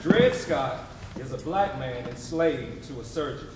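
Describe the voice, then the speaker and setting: A man's voice: medium pitch, darkish tone, a little hiss or hum. Male stage narrator, very echoey room. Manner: deliberate, emphatic storytelling. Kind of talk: scripted dramatic narration in readers theatre. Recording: very echoey room, distant mic, responsive audience.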